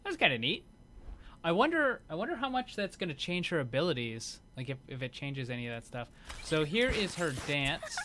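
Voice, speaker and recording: A man's voice: on the high side, very animated, moderate pace, fairly bright tone. A man giving casual, unscripted commentary as host of a gaming video. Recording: clear background noise.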